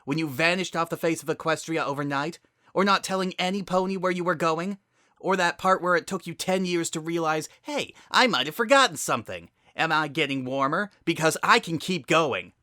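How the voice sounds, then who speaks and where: A man's voice: on the high side, fairly quick, pushed and bright, no room sound, clean studio sound, voice pushed up. A man voicing an angry, sarcastic female pegasus pony, a bitter older sister, in a dramatic story reading. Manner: biting, sarcastic, confrontational.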